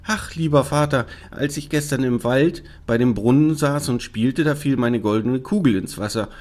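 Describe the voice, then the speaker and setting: A man's voice: medium pitch, moderate pace, a little mellow, voice pushed up, lightly acted. A man voicing a young, spoiled princess in a fairy tale, with a childlike, plaintive and eager manner. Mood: anxious. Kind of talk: fairy-tale storybook character reading.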